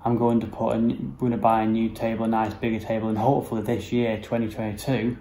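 Guy in his 30s doing a house tour vlog, flat delivery, rambly, bit of background noise. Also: lowish voice, quick pace. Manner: rambling, matter-of-fact, informal. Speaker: home renovation vlogger. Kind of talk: casual walk-through vlog narration. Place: rooms of a house, indoors. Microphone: handheld camera or phone at arm's length. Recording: noticeable background noise, handheld camera indoors.

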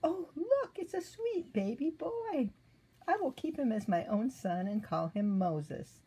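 Older woman putting on a high, delighted princess. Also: sing-song, unhurried, dark tone, breathy edge, exaggerated voice. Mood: delighted, tender. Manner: exclaiming, tender. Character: delighted young princess finding a baby.

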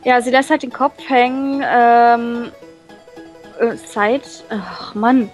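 Halting high-voiced woman over music. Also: poor audio. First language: German. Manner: halting, searching for words. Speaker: let's play co-host. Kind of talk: reading visual novel text aloud, casual translating and commentary. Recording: music playing behind the voice.

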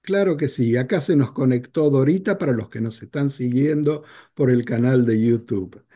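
An older man hosting a talk show over a video call, speaking in a measured, reflective way. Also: medium pitch, mellow. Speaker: radio show host. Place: home office.

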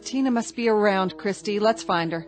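A woman's voice: medium pitch, moderate pace, close to an everyday voice. A woman playing a weary, worried, reproachful wife and mother in an American radio drama, speaking earnestly. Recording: music underneath.

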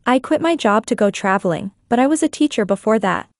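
A woman with a fairly high voice reads dialogue lines for a language lesson. She is clear and careful and says every line twice. The sound is dry and close. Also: animated, fairly quick, darkish tone, dry recording. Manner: clear, careful reading, each phrase said twice. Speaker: voice in an English lesson.

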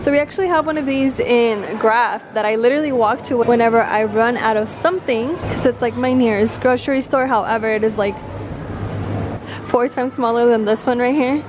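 A woman's voice: fairly high pitch, brisk. A young woman in her twenties vlogging casually, chatty and upbeat, talking into a handheld camera as she walks around. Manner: chatty, upbeat, easily delighted. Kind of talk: casual vlog narration. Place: indoor shopping mall and outdoor street. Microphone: handheld compact camera at arm's length. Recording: noisy mall and street ambience.

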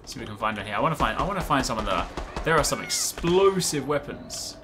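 A man in his thirties giving casual, chatty, excitable gameplay commentary, often repeating short phrases. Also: mid-range voice, fast talker, over music. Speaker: gaming video host. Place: indoors at a desk, gaming setup.

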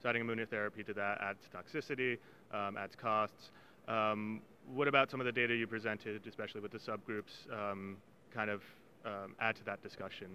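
A man in his thirties asks questions at a conference in a bright, crisp tone, leaning on "um"s as he frames each one. He holds a handheld mic on an indoor set, with faint noise behind him. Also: fairly low pitch, level tone, fairly quick. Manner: friendly, with filler-heavy questions. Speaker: interviewer for a patient organization.

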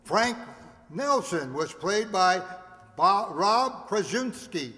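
A man in his seventies reading out cast credits on a stage in a deliberate, theatrical announcer's manner. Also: high-pitched, animated, pushed and bright. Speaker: announcer of a radio players group. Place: stage of a community hall. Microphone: stand microphone on stage, recorded by audience camera. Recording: echoey hall, audience noise, hiss.

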